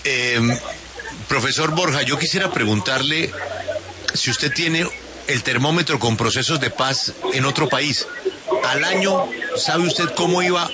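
A man's voice: mid-range voice, a little slow, noisy background. A man hosting a radio interview, asking informal questions with hesitant fillers. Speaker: radio host.

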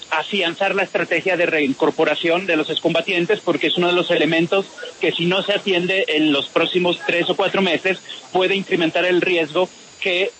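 A man from Spain with a Spanish accent speaks in a somewhat raised voice and lays out his analysis in a careful, measured way. He often stops on "eh" and restarts his sentences. The line has a clear hiss of noise behind him. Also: high-pitched. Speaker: peace process analyst. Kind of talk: phone-in radio interview.

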